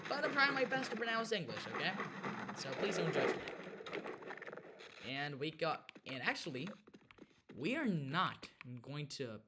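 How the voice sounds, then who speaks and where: A young man from Mexico whose first language is Spanish, chatting haltingly with a Mexican Spanish accent as he narrates a gameplay livestream. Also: fairly high pitch, big pitch swings. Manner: halting, self-correcting, chatty. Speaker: streamer. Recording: loud background noise.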